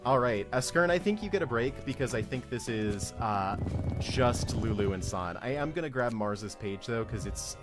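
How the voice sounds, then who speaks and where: A man's voice: medium pitch, animated, moderate pace, music in the background. A man hosting a stream read-along in casual, informal chat. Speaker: stream host and narrator.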